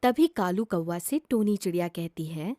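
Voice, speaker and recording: A woman's voice: fairly low pitch, lively intonation, normal speed, mellow, no room sound, clean studio sound. A woman narrating a scripted children's story as a storyteller.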